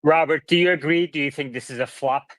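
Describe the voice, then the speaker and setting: A man's voice: fairly high pitch, brisk, a bit bright, no room sound. A man hosting a live online panel discussion, directing questions to the guests. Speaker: host moderating a live panel.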